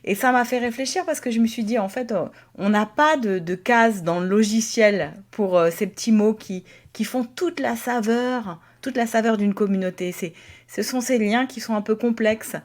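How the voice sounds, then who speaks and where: A woman's voice: fairly high pitch, normal speed. A woman from Alsace in France co-hosting a podcast as a pastor, talking conversationally and reflectively, rephrasing as she goes. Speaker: podcast co-host and Protestant pastor.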